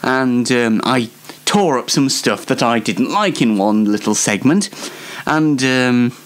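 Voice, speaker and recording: A man's voice: mid-range voice, expressive, slow. A man hosting a solo show in a casual comic monologue, rambling hesitantly and poking fun at himself. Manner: hesitant, rambling, self-deprecating. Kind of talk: casual comedic monologue to audience.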